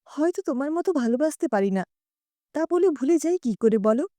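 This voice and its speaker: A woman voicing a gentle, dejected husband who speaks in a subdued, resigned way in an audio story. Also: sits high, animated, fairly quick, no room sound. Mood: quietly hurt. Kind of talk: dramatised audio story dialogue.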